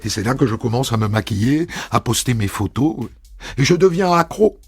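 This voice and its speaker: A man telling a first-person story as a narrator, in a measured, intimate reading. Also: mid-range voice, animated, husky, no room sound. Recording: dry studio voice over soft music.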